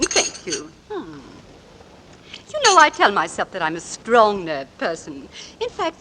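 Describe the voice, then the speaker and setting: A woman's voice: on the high side, sharp tone, a little hiss or hum, low-quality recording. An actress playing a chatty, tactless middle-aged mother who prattles on in a 1940s radio drama. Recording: old radio recording.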